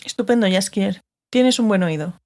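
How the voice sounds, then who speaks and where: An actress playing a stern, sardonic sorceress, curt and authoritative, in a dramatized fantasy audiobook. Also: female voice, mid-range voice, normal speed, warm tone, close to an everyday voice. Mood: wry. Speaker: audiobook actor.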